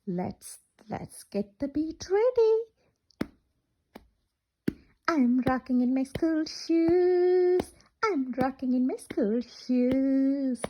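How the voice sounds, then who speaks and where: A woman reading a picture book aloud to children in a singsong, interactive way, asking questions as she goes. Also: high voice. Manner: animated, singsong, asks questions and pauses for children. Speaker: children's storyteller.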